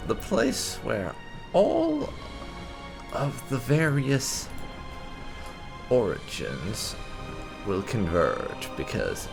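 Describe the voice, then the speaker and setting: A man casually narrating his playthrough of a video game for viewers in a relaxed, explanatory way. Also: lowish voice, drawn-out words, gravelly, long pauses, over music. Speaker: gaming channel host.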